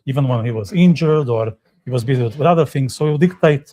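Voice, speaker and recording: A man's voice: mid-range voice, fast, dark tone. A man co-hosting a live writers' podcast in casual chat, joking and putting questions to his guests. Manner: chatty, joking, asks the others questions. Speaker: podcast co-host. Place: hotel room, live session.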